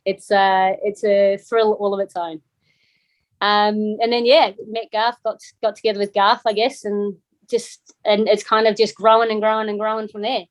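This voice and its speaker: A middle-aged woman with a New Zealand accent talks freely as an interview guest. She fills her talk with ums and restarts, at an ordinary middling pitch. Her voice sounds dry and close over a video call. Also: flat delivery, normal speed, no room sound. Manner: chatty, many ums and restarts. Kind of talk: casual interview chat.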